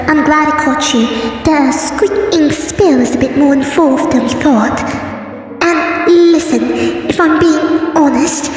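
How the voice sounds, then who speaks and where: Woman as a high-pitched, earnest young sea pony. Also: darkish tone. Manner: chatty, earnest. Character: youthful female sea ponies and princesses, earnest and excitable. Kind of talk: cartoon comic dub acting. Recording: echoey reverb, distant, music behind. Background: music.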